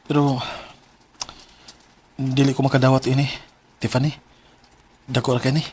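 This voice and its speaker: A man's voice: mid-range voice, little pitch movement, moderate pace, a little mellow, slightly rough, natural voice. An actor with a Cebuano Filipino accent playing a devoted, emotive young male student in a radio drama. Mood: humble, grateful. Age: young adult. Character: devoted young male student, working to help his ailing mother.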